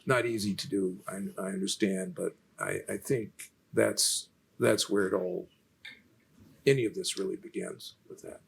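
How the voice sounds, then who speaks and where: Man, soft-spoken, gravelly, halting, restarts, faint noise. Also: low-pitched, fairly even intonation. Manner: halting, with many restarts and fillers. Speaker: featured lecturer in a Q&A. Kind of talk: unscripted lecture conversation.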